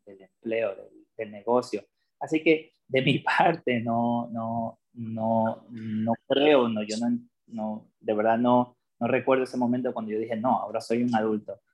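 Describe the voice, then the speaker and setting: A man's voice: medium pitch, steady tone, unhurried, poor audio. A man from Ecuador co-hosting a podcast, speaking in a hesitant way with many restarts. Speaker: podcast co-host. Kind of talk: casual conversation.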